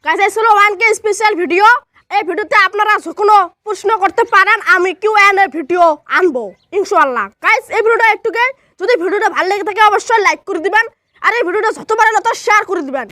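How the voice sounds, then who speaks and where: A woman's voice: very high voice, animated, quick pace, pushed and bright, no room sound, clean studio sound. A woman YouTuber chatting directly to her viewers, thankful and chatty as she talks to subscribers. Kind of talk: casual vlog talk to subscribers.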